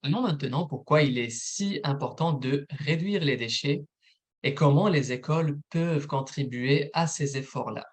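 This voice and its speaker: A man, a program manager, presenting a recorded informational webinar in a steady, methodical read. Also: fairly high pitch, normal speed.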